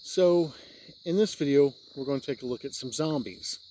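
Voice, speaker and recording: A man's voice: mid-range voice, normal speed, mellow, a little hiss or hum, rough recording. A man giving an unscripted explanatory review, talking plainly step by step and sometimes repeating himself. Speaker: tabletop game reviewer.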